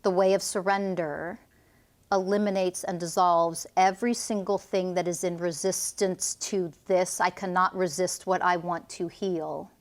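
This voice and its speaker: A woman's voice: on the low side, steady tone, a little slow. A woman energy healer guiding a client through a healing session, commanding and intense, with blunt swearing.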